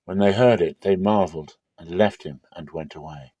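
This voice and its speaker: Male Bible reader, lower-pitched and mellow, expressive and measured, very dry close sound. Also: dry recording. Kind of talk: dramatic scripture reading.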